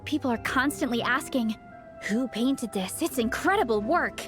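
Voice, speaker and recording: A sporty, confident young woman from a video game, played by a woman, with a very high voice. She talks sincerely and supportively, like a keen teammate, with music clearly behind her. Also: expressive, normal speed, natural voice. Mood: encouraging. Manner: encouraging, upbeat, sincere.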